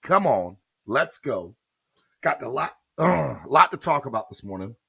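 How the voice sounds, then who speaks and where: A man hosting a morning sports podcast, slightly hoarse, talking upbeat and straight to his viewers. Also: mid-range voice, very animated, measured pace, pushed and bright, no room sound, studio quality. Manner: upbeat, addresses viewers directly. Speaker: podcast host. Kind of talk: morning sports show intro.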